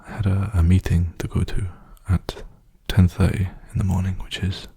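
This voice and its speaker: A young man speaking softly in a hushed, breathy, soothing whisper, rambling casually for an ASMR video. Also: low voice, flat delivery, normal speed. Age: young adult.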